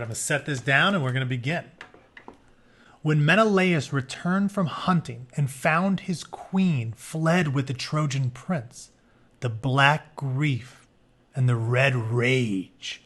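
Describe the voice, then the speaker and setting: Higher-pitched man reading aloud and explaining. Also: a bit bright. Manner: informal, conversational, explains as he goes. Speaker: teacher reading a book aloud. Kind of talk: read-aloud with casual explanatory asides.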